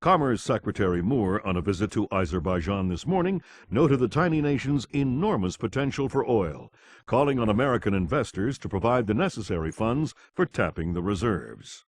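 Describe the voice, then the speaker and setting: A man's voice: fairly low pitch, sing-song, fairly quick. A man playing a formal, authoritative television news anchor reading scripted reports in a video game. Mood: formal, newsy.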